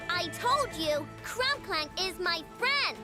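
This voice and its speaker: A woman voicing a feisty, childlike young inventor girl in a video game, excitable, emphatic and fiercely protective. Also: extremely high pitch, slow pace, over music, heavily acted. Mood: angry, defiant. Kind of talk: animated video game acting.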